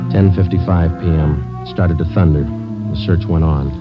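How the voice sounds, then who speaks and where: A stoic American police detective narrates a radio drama in a very low voice. He is terse and deadpan, heard on a muffled old broadcast with music behind him. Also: moderate pace. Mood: flat, grim. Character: stoic, businesslike male police detective sergeant. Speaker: lead actor and narrator. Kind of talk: 1950s radio drama, clipped scripted narration.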